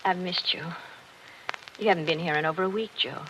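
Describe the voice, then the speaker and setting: An actress playing a hurt, bitter wealthy wife in a 1940s radio drama, speaking in a genteel, wistful way. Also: female voice, fairly low pitch, brisk, a bit bright, close to an everyday voice. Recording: muffled old radio broadcast with hiss.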